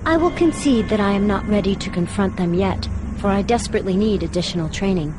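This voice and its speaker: An earnest young village warrior played by a woman speaks at a medium pitch over clear music. She is proud, formal and determined, in the style of a game cutscene. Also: fast, close to an everyday voice, rough recording. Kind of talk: video game cutscene acting.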